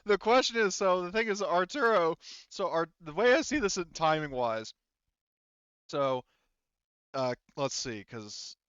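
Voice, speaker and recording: A man's voice: fairly high pitch, expressive, unhurried, fairly bright tone, smooth, no room sound, lo-fi sound. A man acting as game master, narrating and chatting casually and informally, with some swearing.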